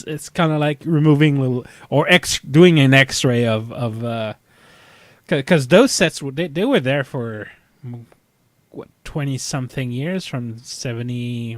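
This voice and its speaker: A man in a casual fan chat, speaking haltingly and often repeating words as he goes. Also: mid-range voice, no room sound. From Canada. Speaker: podcast panelist.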